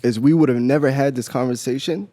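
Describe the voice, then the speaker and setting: A man hosting a podcast interview in a casual, earnest way, asking questions into a studio boom mic. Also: fast, warm tone, no room sound. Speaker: podcast host. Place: small home podcast studio, indoors. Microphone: studio condenser on boom arm, headphones.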